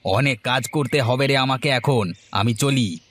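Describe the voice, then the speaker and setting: A man's voice: mid-range voice, measured pace, fairly bright tone. A man playing a resentful, complaining young younger son in a fairy-tale cartoon. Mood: aggrieved. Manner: sulky, complaining.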